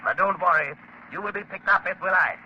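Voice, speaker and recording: An actor playing a ruthless, smugly cunning saboteur boss in theatrical 1930s radio drama style. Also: male voice, sits high, brisk, natural voice. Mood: smugly confident. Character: ruthless, commanding male saboteur spy chief, smugly cunning. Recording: muffled, noisy 1939 radio broadcast.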